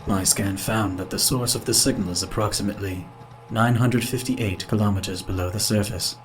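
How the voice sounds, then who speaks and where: A calm artificial intelligence running a space base, played by a man, in a sci-fi audio drama. The male voice sits fairly low and speaks with flat, measured formality, always obedient. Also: soft background music, lightly acted. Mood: calm, procedural.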